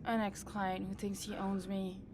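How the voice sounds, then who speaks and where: Actress doing a shaken woman from a game, subdued, average pitch, faint noise behind. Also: monotone, measured pace, lightly acted. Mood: shaken, concerned. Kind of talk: video game voice acting.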